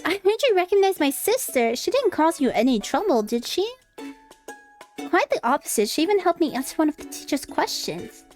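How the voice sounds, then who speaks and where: A woman with a high voice is streaming a visual novel playthrough. She reads the text aloud with big swings in pitch and expressive, dramatic reactions, over faint background music. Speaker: streamer, VTuber.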